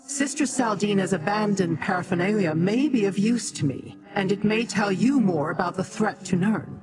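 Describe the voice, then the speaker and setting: A game actor voicing a haughty, imperious demonic being who talks down to mortals in formal, measured speech. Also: medium pitch, close to an everyday voice. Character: haughty, imperious Daedra ally, scornful of mortals. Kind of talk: scripted video game dialogue. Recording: music behind the dialogue.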